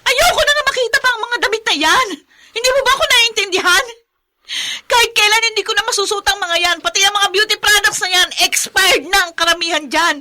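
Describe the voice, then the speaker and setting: A bitter, worn-out mother in a radio drama. Her voice is very high and piercingly bright, and she delivers her lines with forceful, anguished emotion. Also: a little fast, full character voice. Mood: bitter, resentful. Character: bitter, exhausted mother resentful of her life. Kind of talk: Filipino radio drama acting.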